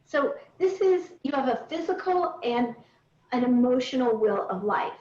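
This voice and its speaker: A woman's voice: high-pitched, animated, a little slow, darkish tone. A middle-aged woman coaching a group on a call, guiding them through points step by step in an instructive way. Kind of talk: guided coaching talk to a group. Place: indoors on a recorded group video call. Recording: roomy, distant, dull online call audio.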